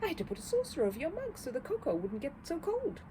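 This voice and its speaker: A woman puts on a kindly older male antique dealer from a storybook. He speaks with courteous concern and mild reproof, in an animated voice over audible noise. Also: very high-pitched, fast talker, pitched above the performer's own voice, heavily acted. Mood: relieved concern. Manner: solicitous, mildly reproving, courteous.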